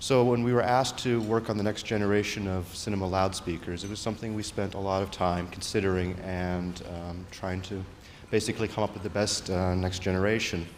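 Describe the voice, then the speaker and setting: A man giving a somewhat halting acceptance speech as an award recipient. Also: sits low, fairly even intonation. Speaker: award recipient, loudspeaker engineer. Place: large hall at awards ceremony. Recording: echoey hall, faint background noise.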